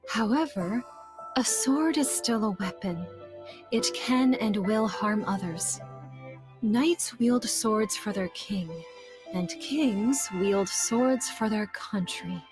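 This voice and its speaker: An actress playing a gentle, wise queen mother in a video game, speaking in a measured, solemn way over music. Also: mid-range voice, animated. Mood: solemn, tender. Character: gentle wise queen mother counseling her young son. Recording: music under the voice.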